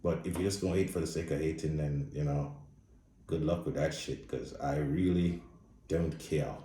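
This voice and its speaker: A man's voice: a bass voice, fairly even intonation, normal speed, dark tone, reverberant, distant mic, slight background noise. A man with a Jamaican accent giving a blunt, profane, casual movie review. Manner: blunt, profane, speaks straight with no filter. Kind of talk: casual opinionated review monologue.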